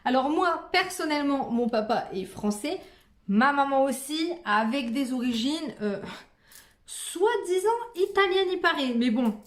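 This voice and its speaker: A woman's voice: high-pitched, lively intonation, unhurried. Chatty young woman in an echoey room. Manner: chatty, slangy, playful swearing. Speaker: fellow vlogger. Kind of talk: casual chat.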